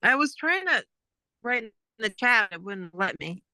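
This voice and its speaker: A woman's voice: fairly high pitch, big pitch swings, measured pace, bright, forceful tone, dry recording, clean studio sound. A woman joining a webinar by voice only and speaking hesitantly with fillers. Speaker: webinar participant. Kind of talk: casual chat on a video call.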